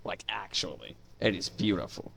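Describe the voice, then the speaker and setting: A man with a fairly low voice gives a casual video review. He talks informally and with enthusiasm, rambling along without a script. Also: big pitch swings, normal speed, creaky, fairly bright tone. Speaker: YouTube reviewer, host. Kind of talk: casual unscripted review of indie animation.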